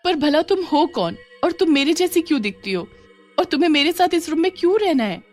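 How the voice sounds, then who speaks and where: A woman plays a frightened young college student in a cartoon horror story. Her voice is pitched high, urgent and pleading. Music runs behind her. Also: lively intonation, brisk. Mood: alarmed. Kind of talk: Hindi cartoon story acting.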